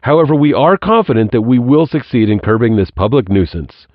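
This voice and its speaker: Guy playing outspoken male official in an audio drama, really lively pitch swings, dry close sound. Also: fairly quick, dry recording, lo-fi sound, close to an everyday voice. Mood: frank, irritated. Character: blunt local official distrustful of federal government.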